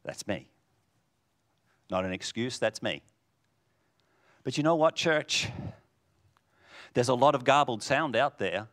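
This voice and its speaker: A man preaching a sermon in a booming voice, swinging from loud declarations to hushed lines. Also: animated, slow, long pauses.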